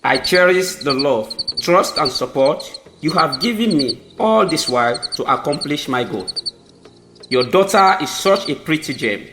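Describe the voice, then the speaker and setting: A man's voice: a little high, lively intonation, measured pace, background noise. A man with a Sierra Leonean accent playing a courteous, smitten newlywed chief in an amateur audio drama, formal and earnest in his delivery. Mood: earnest, grateful.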